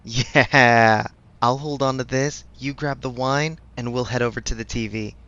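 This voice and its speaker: A man's voice: medium pitch, fairly even intonation, measured pace, bright, forceful tone, dull audio. A man playing a supportive best friend in a casual audio roleplay, speaking frankly with affectionate teasing. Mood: relaxed, playful. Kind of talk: ASMR audio roleplay, conversational.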